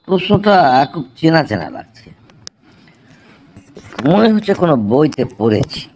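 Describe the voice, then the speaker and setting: একজন পুরুষের অভিনয়ে ধীরলয়ের, দ্বিধাগ্রস্ত বাংলাদেশি কুইজ প্রতিযোগী. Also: male voice, fairly high pitch, big pitch swings, a little slow, a little mellow, a little hiss or hum. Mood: puzzled musing. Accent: Bangladeshi. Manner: deferential, musing, hesitant. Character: polite, hesitant male quiz contestant puzzling aloud. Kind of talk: cartoon comedy dialogue.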